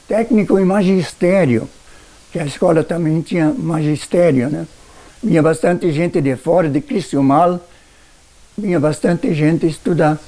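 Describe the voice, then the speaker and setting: A man in his eighties with a southern Brazilian accent, a retired teacher recalling his life in a halting, deliberate way for a documentary. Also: high-pitched, warm tone. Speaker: retired schoolteacher. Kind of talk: personal recollection for a documentary.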